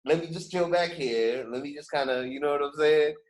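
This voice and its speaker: A man's voice: on the high side, brisk. A man hosting a podcast in casual chat, speaking in a slangy, emphatic way. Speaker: podcast host.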